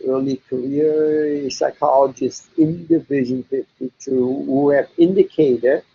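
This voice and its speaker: An older man, a native German speaker, answering interview questions slowly and deliberately, with frequent hesitations. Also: a little high, warm tone. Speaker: interviewee psychologist. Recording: dull lo-fi recording.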